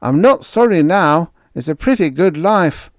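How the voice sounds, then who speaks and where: Man voicing a loud, hearty giant spaceman, pitched up. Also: animated, unhurried, dry recording, clean studio sound. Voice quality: booming. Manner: blunt, breezy, slangy. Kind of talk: audiobook character voice.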